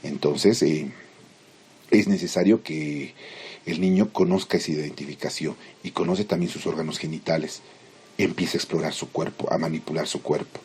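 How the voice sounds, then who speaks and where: A man lecturing in Spanish as a teacher, explaining theory informally in halting, didactic phrases with check-in questions. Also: a bass voice, a little mellow, husky. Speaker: psychology lecturer.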